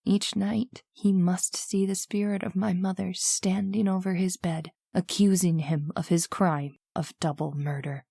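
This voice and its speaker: A woman's voice: lowish voice, fairly even intonation, a little slow, vocal fry, hardly any pauses, dark tone, breathy edge, no room sound, full character voice. Woman playing a haunted radio-horror heroine, dry sound. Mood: somber, haunted. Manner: earnest, dramatic. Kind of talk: old-time radio drama acting, narration.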